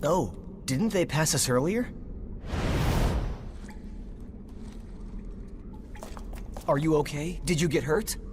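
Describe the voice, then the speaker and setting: An actor playing an easygoing, selfless young companion in a post-apocalyptic video game, talking casually and reassuringly. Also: male voice, on the high side, expressive, moderate pace, background noise, natural voice. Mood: concerned.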